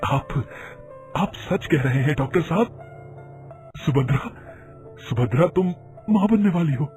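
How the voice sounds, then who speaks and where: A man playing a devoted, emotional husband in an animated moral story, earnest and excitable. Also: on the high side, unhurried, faint music behind. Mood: overjoyed disbelief.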